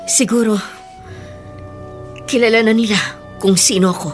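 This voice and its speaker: A woman's voice: fairly high pitch, fairly even intonation, moderate pace, soft background music, lightly acted. A woman playing a hurt, insistent and protective elder sister in a Filipino radio drama, with emphatic pleading delivery. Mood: anguished, pleading.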